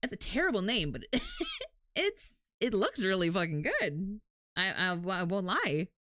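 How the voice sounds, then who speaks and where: A woman's voice: medium pitch, measured pace, no room sound, studio quality. A woman chatting casually as a livestreamer, candid and freely swearing, telling things in a dramatic, self-deprecating way. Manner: animated, dramatic storytelling.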